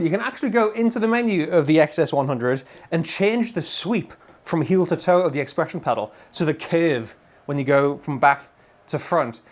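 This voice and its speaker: A man, giving a casual, enthusiastic gear demo in an informal way. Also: sits high. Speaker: guitar gear reviewer. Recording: electric guitar playing behind voice.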